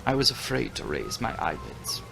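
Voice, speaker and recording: A man giving a theatrical dramatic audiobook reading of a gothic novel, shifting between hushed passages and strong emphasis. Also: medium pitch, sing-song, normal speed, gravelly, music in the background, rough recording. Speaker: audiobook narrator.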